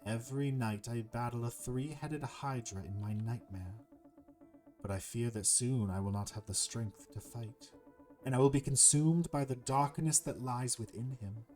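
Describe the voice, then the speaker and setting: A man voicing a grave, grateful serpent in a video game, slow, formal and solemn, with music behind. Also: mid-range voice, darkish tone, natural voice. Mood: troubled, grave. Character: grateful, grave ancient serpent plagued by nightmares. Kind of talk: amateur video game dialogue voice-over.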